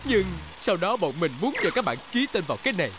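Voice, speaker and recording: A woman voicing a cheeky, excitable young sports car with a whiny manner in a children's cartoon dub. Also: mid-range voice, quick pace, noisy background, natural voice. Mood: eager. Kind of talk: animated children's cartoon dubbing. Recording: loud music over the voice.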